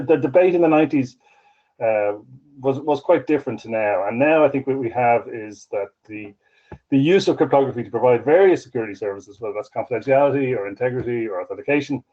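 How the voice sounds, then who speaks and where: A man giving a conference talk over a remote call, halting often with fillers and restarts. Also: medium pitch, darkish tone, lo-fi sound. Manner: hesitant, many fillers and restarts. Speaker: conference speaker.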